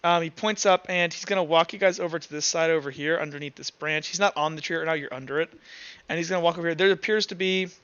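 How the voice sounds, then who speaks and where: Male game master, clean smooth voice on the high side, halting improvised narration, slightly muffled sound. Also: a bit bright. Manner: halting, thinks aloud while narrating. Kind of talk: improvised narration and table talk in a tabletop roleplay session.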